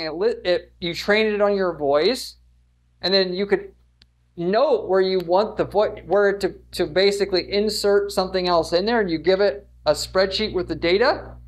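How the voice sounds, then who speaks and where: Guy in his 30s with a pretty high voice explaining marketing stuff, chatty and emphatic. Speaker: marketing instructor. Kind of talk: informal whiteboard lesson, explaining to camera. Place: indoors in front of a whiteboard. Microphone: lapel mic.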